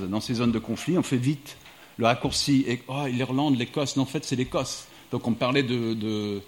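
Man, middle-aged, from the United States, public talk, reflective, thinking aloud, mid-pitched voice, faint background noise. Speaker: speaker, diplomat and writer. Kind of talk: public talk to a live audience. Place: evening talk before an audience.

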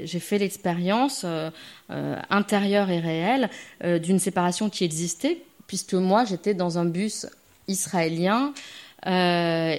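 A woman's voice: on the low side, darkish tone. Hesitant, thoughtful French-born woman. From France. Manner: hesitant, thoughtful, frequent fillers and restarts. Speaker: speaker at a gathering. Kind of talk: reflective personal testimony.

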